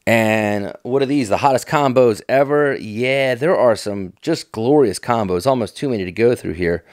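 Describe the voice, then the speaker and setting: A man's voice: mid-range voice, normal speed, no room sound, studio quality. A middle-aged American man giving casual, off-the-cuff commentary in a folksy, enthusiastic, nostalgic manner. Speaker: fishing tackle vlogger.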